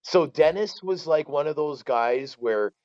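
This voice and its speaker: A man in his forties with a Canadian accent, telling stories casually as a podcast guest, colloquial and animated, often checking that the listener follows. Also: a little high, measured pace, no room sound, muffled recording. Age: 40s. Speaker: former pro hockey player, interview guest.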